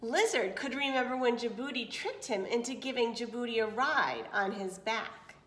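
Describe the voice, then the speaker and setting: A woman with a slightly high voice reads a children's picture book aloud. She is expressive and breaks off for small asides and questions. The room around her is clearly echoey. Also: normal speed. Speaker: storyteller reading aloud to children.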